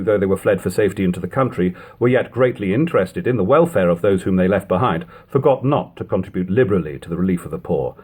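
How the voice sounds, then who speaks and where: A man reading an old historical narrative aloud in a measured, expressive way, as an audiobook reader. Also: fairly low pitch, slightly rough. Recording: lo-fi amateur home recording.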